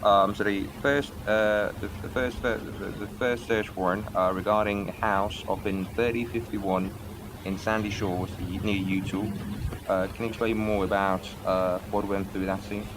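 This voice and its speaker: A man's voice: on the low side, level tone, normal speed, background noise. A man questioning a witness as a lawyer in a roleplay court, asking formal questions with many hesitant fillers.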